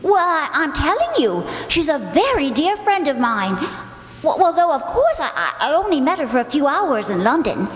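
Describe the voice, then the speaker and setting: A woman's voice: high-pitched, sing-song, normal speed. A woman playing a flustered, forgetful English sister-in-law in a radio play, breathless, dithering and repeating herself. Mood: gushing, hesitant. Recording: echoey, reverberant hall.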